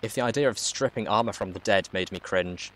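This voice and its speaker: A man reading fan fiction aloud in an expressive storybook narration style. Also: on the low side, brisk, rough recording.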